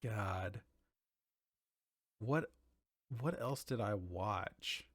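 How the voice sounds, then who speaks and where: A man's voice: lowish voice, very slow, no echo, studio quality. A man in his thirties chatting casually as a podcast host, informal and conversational, often thinking aloud. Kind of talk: casual chat about TV and games.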